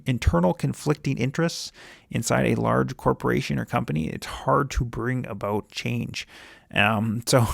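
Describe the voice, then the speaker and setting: A man's voice: mid-range voice, creaky, no echo, clean studio sound. A man giving informal solo commentary on business as a show host, thinking aloud and often rephrasing himself, with an enthusiastic manner. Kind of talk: informal solo commentary on a company earnings call.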